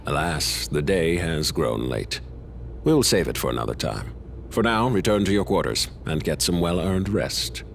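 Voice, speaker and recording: An actor playing a stately older male leader who speaks in a measured, formal, explaining way in a game cutscene. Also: low voice, animated, gravelly. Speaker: leader of an order. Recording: loud game music behind.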